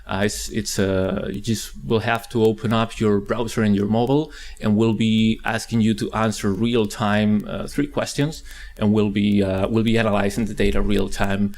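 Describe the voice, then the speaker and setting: A man's voice: on the low side, flat delivery, normal speed, a little mellow. A man presenting a conference panel, introducing the speakers with frequent hesitations and fillers. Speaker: event presenter. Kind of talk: event introduction.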